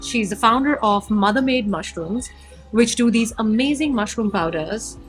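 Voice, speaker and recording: A woman's voice: on the high side, lively intonation, normal speed, soft background music. A woman hosting an interview, effusive and enthusiastic about her guest. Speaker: podcast host.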